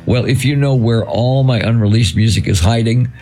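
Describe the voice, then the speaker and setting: A man hosting a radio show, his voice croaky from touring, chatting casually and acting out what he tells himself in the studio. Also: mid-range voice, normal speed, soft background music. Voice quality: gravelly. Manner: relaxed storytelling, acting out his own inner voice.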